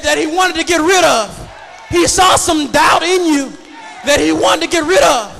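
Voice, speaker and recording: A man's voice: extremely high pitch, creaky, pushed and bright. A man preaching a fervent sermon in an emphatic, repetitive cadence that leans on repeated phrases. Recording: echoey hall reverb with congregation noise.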